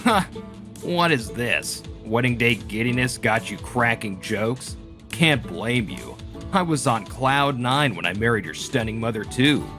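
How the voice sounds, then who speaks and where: A man's voice: mid-range voice, animated, unhurried, over music, lightly acted. A man playing a pompous, snobbish older company president and proud father in a manga dub. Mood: amused disbelief.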